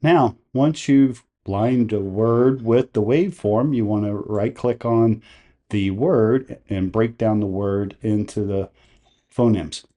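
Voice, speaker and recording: Low, mellow-voiced man giving a tutorial. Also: slow pace. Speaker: tutorial host. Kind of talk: step-by-step software tutorial narration.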